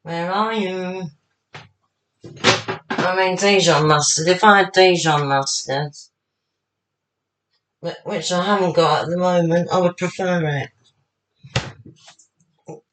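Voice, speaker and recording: A woman, slightly husky and tired, chatting casually to herself while making a salad at home. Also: lowish voice, fairly even intonation, takes it slowly, long pauses, a bit bright, a little hiss or hum. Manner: chatty, rambling, talks to herself while working. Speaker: home cook. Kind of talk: casual cooking demonstration.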